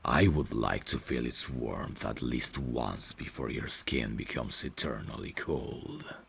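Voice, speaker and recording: A man playing a devoted, courteous vampire butler in an ASMR audio drama, speaking formally and gently in a hushed, gravelly voice that slips toward whispers. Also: rumbling low, expressive, slight background noise, heavily acted. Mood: tender, deferential. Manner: slow, formal, gentle, deferential.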